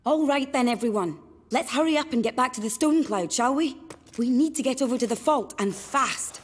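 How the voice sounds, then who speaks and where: A plucky, high-voiced adventurer. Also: female voice. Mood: urgent. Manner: colloquial, brisk and decisive. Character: plucky, friendly young woman adventurer. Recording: very loud rumble and game noise behind.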